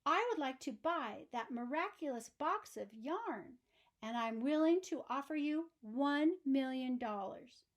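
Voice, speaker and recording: An imperious archduke from a children's storybook, acted out by a woman reading aloud. The voice is high and deliberate, loud with greed and demand. Every phrase is dramatic and lordly. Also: expressive. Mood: haughty, coaxing. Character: imperious, greedy male archduke, fond of clothes, furious when refused.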